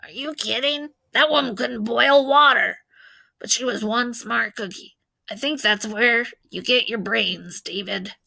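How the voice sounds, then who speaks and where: A woman's voice: fairly high pitch, measured pace, vocal fry, bright, forceful tone, heavily acted, rough recording. A woman reading a storybook, playing a kindly, teasing old grandfather who gives folksy, wry advice. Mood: wry, affectionate. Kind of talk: storybook read-aloud character voice.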